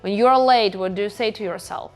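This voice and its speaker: A woman's voice: mid-range voice, fast, faint music behind. A woman from Ukraine with a Ukrainian accent, a hypnotherapist and coach talking casually about the mind, full of "like" and "you know.". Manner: conversational, fillers like "like" and "you know". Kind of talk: casual teaching monologue.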